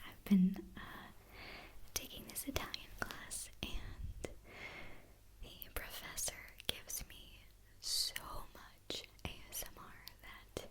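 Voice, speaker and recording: A woman's voice: mid-range voice, a little mellow, low-quality recording. A woman whispering a casual, rambling ASMR chat for her channel, drifting off into digressions as she talks.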